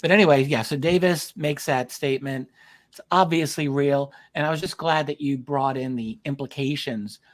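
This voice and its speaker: A man with a medium-pitched voice hosts an interview in a conversational style. He phrases things thoughtfully and a little hesitantly, often pausing and doubling back on his words. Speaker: host interviewing a documentary filmmaker.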